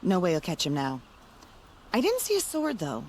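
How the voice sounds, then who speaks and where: A woman's voice: on the low side, huge pitch swings, normal speed, slight background noise. A woman playing a blunt, wry city bystander in a video game, talking in a casual, salty way. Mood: wry, offhand.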